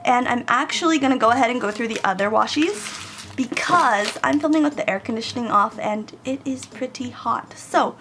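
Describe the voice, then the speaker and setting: Woman, craft vlogger, getting over a cold, chatty, gravelly voice, slightly high pitch, faint hiss. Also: moderate pace, fairly bright tone. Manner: chatty, addresses viewers directly. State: recovering from a cold. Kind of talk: casual unboxing haul. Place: home room with air conditioning, in Japan.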